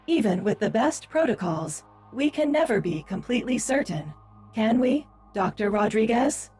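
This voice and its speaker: Lady doing creepy sly ai woman, breathy voice, fake reassuring, soft music behind. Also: fairly high pitch, expressive, normal speed. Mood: insinuating. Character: slyly insinuating female station AI. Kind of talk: sci-fi audio drama dialogue. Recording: music under the voice.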